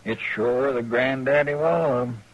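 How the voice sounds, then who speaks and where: An actor playing a simple, kindly Western deputy sidekick with a countrified drawl in a 1950s radio drama. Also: male voice, mid-range voice, normal speed, highs cut off, lightly acted. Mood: sorrowful, folksy.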